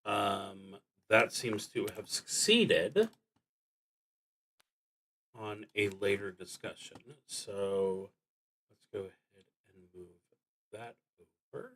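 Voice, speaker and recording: A man streaming a solo tabletop game, thinking aloud in a halting, deliberate way and often trailing off. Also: fairly low pitch, expressive, fairly bright tone, husky, low-quality recording. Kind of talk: thinking aloud while playing a solo card and dice game.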